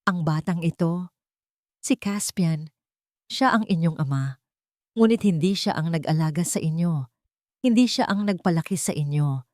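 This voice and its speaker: A woman acts out a wronged Filipino mother in an audio drama, firm yet gentle. She speaks solemnly and softly in a fairly low voice, recorded dry. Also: no room sound. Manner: measured, solemn, soft-spoken.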